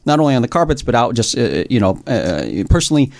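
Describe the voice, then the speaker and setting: A man's voice: medium pitch, big pitch swings, fast talker, creaky, darkish tone, no room sound, clean studio sound. A man hosting an interview, talking in a rambling, enthusiastic way and often repeating himself. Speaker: podcast host. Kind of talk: casual interview chat.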